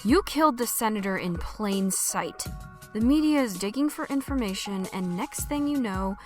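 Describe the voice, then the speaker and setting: A woman playing a stern, weary commander of a covert organization in an audio drama, with a serious, measured authority. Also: medium pitch, expressive, a little mellow, faint music behind, lightly acted. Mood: stern, upset.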